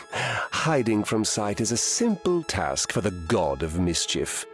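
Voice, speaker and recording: A man's voice: on the low side, big pitch swings, slow. A man voicing a vain god of mischief in a video game, condescending and theatrical. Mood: smug, mocking. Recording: music behind.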